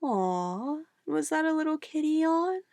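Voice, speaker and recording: A woman playing a sweetly menacing, possessive witch in an ASMR roleplay, cooing and coaxing condescendingly in a soft voice. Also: high voice, expressive, unhurried, creaky, no room sound, clean studio sound, full character voice. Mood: gushing, adoring. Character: possessive young-sounding witch, sweetly menacing, doting on her familiar.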